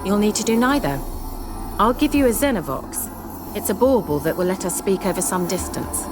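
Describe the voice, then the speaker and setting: Haughty sorceress, played by an actress, music behind. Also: female voice, medium pitch, lively intonation, moderate pace, close to an everyday voice. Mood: brisk, matter-of-fact. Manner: articulate, formal, dryly condescending. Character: haughty, sly young sorceress posing as village witch. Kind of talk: dramatic video game dialogue. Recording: game music under the voice.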